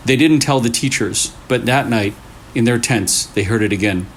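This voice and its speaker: Man reading scary stories, noisy recording. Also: mid-range voice. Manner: deliberate, short phrases with pauses for suspense. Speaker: storyteller, channel host. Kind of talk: scary story read aloud. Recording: clearly noisy room recording.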